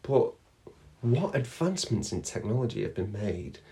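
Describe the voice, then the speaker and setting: A young Englishman co-hosting a podcast, his pitch swinging up and down as he tells stories. He sounds playful and relaxed, putting on little voices for the people in his tales. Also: normal speed. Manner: playful storyteller, animated. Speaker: podcast co-host. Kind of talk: casual chat.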